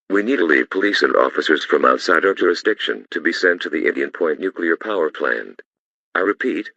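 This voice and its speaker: A man acts out an urgent security operator at a nuclear plant in an audio drama. The voice is extremely low and raspy, swinging widely as he issues clipped, repeated official orders. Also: bright, forceful tone, no echo, lo-fi sound, exaggerated voice. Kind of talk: amateur audio drama acting.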